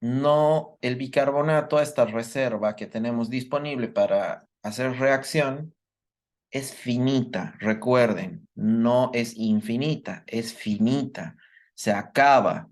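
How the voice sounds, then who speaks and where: A man teaches a live workshop. His voice sits at a middle pitch, and he works through each idea step by step. He stops often to ask his students whether they have understood. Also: slow. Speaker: medical lecturer. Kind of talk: live online workshop lecture.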